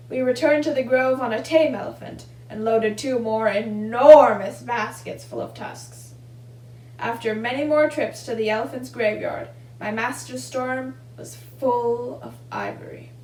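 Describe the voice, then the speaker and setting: A woman's voice: fairly high pitch, a little slow, darkish tone, slight background noise, low-quality recording. A young woman reading a children's story and giving simple craft instructions. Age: young adult. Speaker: volunteer storybook reader and craft demonstrator.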